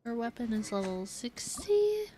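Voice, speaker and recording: A woman's voice: fairly high pitch, big pitch swings, unhurried, mellow, slight background noise. A woman game streamer chatting casually, musing aloud and indecisively to her viewers. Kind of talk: casual livestream chat.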